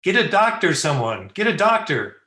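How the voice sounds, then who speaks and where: A man playing a wisecracking, excitable young partygoer in old-time radio style. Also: fairly high pitch, normal speed, reverberant. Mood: alarmed. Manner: sarcastic, excitable.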